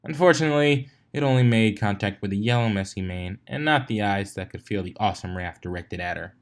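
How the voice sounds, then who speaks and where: A man's voice: on the low side, a little fast. A man narrating a story expressively, occasionally stumbling over a word and correcting himself. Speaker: fan fiction reader. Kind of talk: narrated story reading with character voices.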